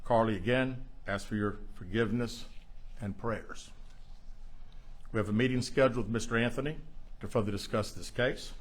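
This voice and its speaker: A man speaking as a police chief at a press briefing, answering questions in a halting, measured, plain-spoken way. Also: mid-range voice.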